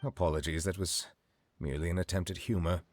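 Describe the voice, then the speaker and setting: A deep, grave, courteous older judge. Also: male voice, fairly even intonation, darkish tone, no room sound. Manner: formal, measured, solemn. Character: grave, courteous, elderly male chief judge, fatherly and reserved. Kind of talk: video game story voice acting.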